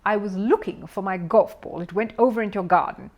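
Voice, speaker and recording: A woman's voice: mid-range voice, a little fast, a little mellow, close to an everyday voice. A woman voicing a mean, bad-tempered male neighbour in a children's storybook, snappish and accusing. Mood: nasty, grumbling. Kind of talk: children's storybook character reading.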